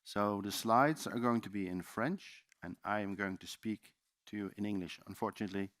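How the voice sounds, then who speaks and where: A man's voice: fairly low pitch, moderate pace, a bit bright, no echo, clean studio sound. A man with a Dutch accent giving a loose, chatty seminar presentation in a conference room, with many fillers and restarts. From Netherlands. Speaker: conference speaker.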